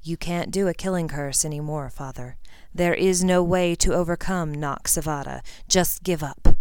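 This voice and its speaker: Brief: a woman playing a proud teen wizard, firm, a touch lower than her own voice. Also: moderate pace, darkish tone, clean tone, no echo. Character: proud blond teenage wizard boy.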